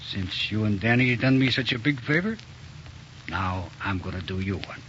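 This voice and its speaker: Casting: sardonic gangster on the run, lowish bright voice, curt slang, old dull radio recording. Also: male voice, lively intonation, normal speed, a little hiss or hum. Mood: gloating menace. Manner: slangy, curt tough-guy talk. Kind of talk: 1940s radio crime drama acting.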